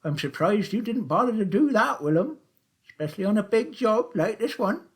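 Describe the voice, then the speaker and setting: A gleeful old man behind the wheel of a taxi, his voice jumping high and loud with excitement, put on by a male narrator. He chatters in a rustic, chortling way, his pitch swooping all over the place. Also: normal speed. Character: old man, cheerful chuckling taxi driver.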